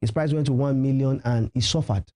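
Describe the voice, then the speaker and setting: A man's voice: medium pitch, brisk, mellow. A Nigerian man whose native language is Yoruba, a professional MC giving emphatic advice in a conversational TV interview and repeating key phrases. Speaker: professional MC and event host, interview guest.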